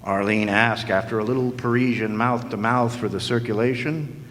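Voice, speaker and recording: A man's voice: mid-range voice, fairly even intonation, normal speed, a bit bright, lightly acted. A man playing a wisecracking, world-weary private eye in old-time radio noir style, narrating with sardonic slang. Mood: wry. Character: wisecracking, world-weary hard-boiled male private detective. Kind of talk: 1940s radio noir acting, first-person narration. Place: live stage performance in a hall. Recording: echoey hall, background noise.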